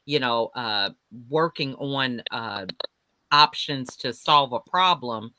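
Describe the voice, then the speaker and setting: Bright-voiced man hosting a panel. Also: mid-range voice, animated, slow, no room sound. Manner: encouraging, conversational, relates anecdotes. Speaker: panel moderator. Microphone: video call.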